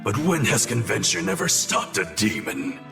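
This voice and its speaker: An actor playing a solemn, driven demon swordsmith, grave and resolute, in a dramatic video game. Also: male voice, mid-range voice, very animated, normal speed, gravelly, music in the background.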